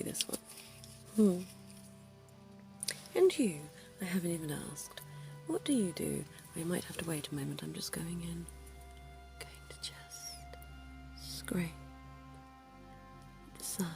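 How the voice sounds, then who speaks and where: A woman voicing a suave, centuries-old vampire dentist in an ASMR roleplay, whispering softly with genteel, teasing charm. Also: on the low side, animated, gravelly, over music, full character voice. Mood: soothing. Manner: soft whispering, slow and intimate; genteel and teasing, quiet chuckles.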